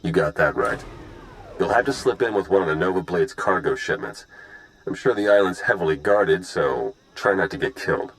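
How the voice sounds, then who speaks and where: An actor playing a laid-back, wry spy in a video game, speaking in a casual, matter-of-fact way. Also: male voice, deep voice, animated, normal speed, slight background noise, low-quality recording.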